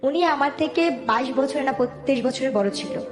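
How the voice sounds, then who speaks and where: Female interview guest, Dhaka Bangladeshi accent, slightly high pitch, conversational storytelling, roomy sound. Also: normal speed. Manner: colloquial, detailed, quotes past conversations. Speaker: guest telling own life story. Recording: roomy voice with music underneath. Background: background music.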